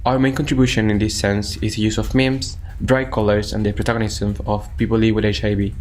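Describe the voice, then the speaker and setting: Male presenter, Chilean Spanish accent, lowish voice, scripted delivery, faintly noisy sound. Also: flat delivery, quick pace, darkish tone. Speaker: youth HIV advocate.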